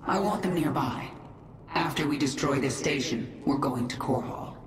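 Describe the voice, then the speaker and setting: A woman plays a resolute queen leading an alien swarm. Her voice is low and she speaks in a firm, clipped way. It sounds roomy, distant and noisy. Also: moderate pace, close to an everyday voice. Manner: firm, clipped, sometimes whispered.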